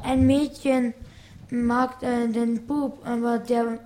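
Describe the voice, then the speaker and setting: A schoolchild from Afghanistan, a native Farsi speaker, answering a radio host's questions hesitantly and searching for words. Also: female voice, fairly high pitch, flat delivery, takes it slowly, noisy background. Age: child. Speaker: studio guest.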